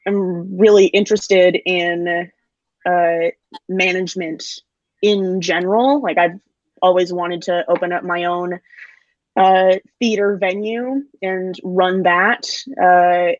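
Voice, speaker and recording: A woman's voice: lowish voice, no echo. A woman in her twenties, a student actor, chatting casually and hesitantly as she thinks aloud. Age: 20s. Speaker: actor, graduating theater student. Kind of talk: casual chat. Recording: dry, slightly dull online audio.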